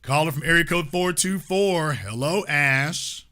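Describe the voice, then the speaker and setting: Bright-voiced lecturing male host. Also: a little high, unhurried. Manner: emphatic, lecturing. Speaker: call-in show host. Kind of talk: talk radio commentary.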